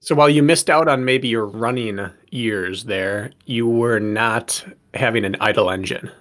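A man's voice: medium pitch, unhurried, fairly bright tone. Plain-voiced man asking direct questions. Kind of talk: interview questions.

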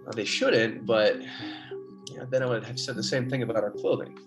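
An actor playing an earnest, wryly patient colony biologist in an American golden age radio drama, speaking in a measured, explanatory way. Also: male voice, mid-range voice, music in the background, natural voice. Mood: matter-of-fact, wry. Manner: measured clinical dictation alternating with easygoing explanation.

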